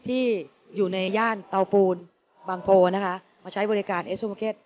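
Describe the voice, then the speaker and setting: A middle-aged woman, a company managing director, answering interview questions in a measured way with frequent hesitations. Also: mid-range voice, fairly even intonation, muffled recording, a little hiss or hum. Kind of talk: on-camera interview. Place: inside a supermarket. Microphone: handheld mic.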